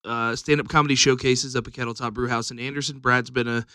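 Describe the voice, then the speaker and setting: A man who runs comedy showcases, chatting casually on a podcast and pausing often with fillers. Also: medium pitch, steady tone, bright, forceful tone, clear, resonant tone, no room sound. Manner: hesitant, many fillers.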